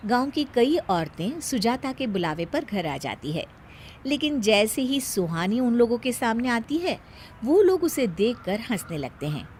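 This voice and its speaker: A woman narrates a cartoon tale in a fairly high voice. She takes it very slowly, as a storyteller reading from a script. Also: big pitch swings, a little mellow, a little hiss or hum.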